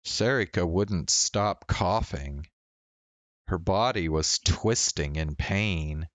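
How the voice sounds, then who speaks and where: Deep-voiced man narrating, slow-paced. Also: no echo. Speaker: game streamer. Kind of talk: reading visual novel narration aloud. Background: game music.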